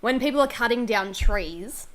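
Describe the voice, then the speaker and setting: Jokey podcast woman, bit higher voice, teasing, sweary, just chatting. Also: animated, normal speed, rough recording. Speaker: podcast co-host. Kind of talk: casual banter.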